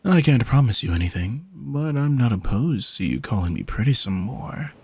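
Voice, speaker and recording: A man playing an alluring sea monster posing as a vain, flirty gentleman in an audio roleplay, speaking softly and formally in a haughty, teasing way. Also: mid-range voice, big pitch swings, normal speed, breathy edge. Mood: smug, flirty. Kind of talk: scripted audio roleplay, spoken to the listener.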